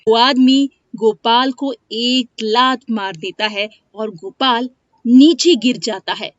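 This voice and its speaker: A woman's voice: fairly high pitch, slow pace. A woman reading a scripted moral story as its narrator. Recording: dry, dull lo-fi studio sound.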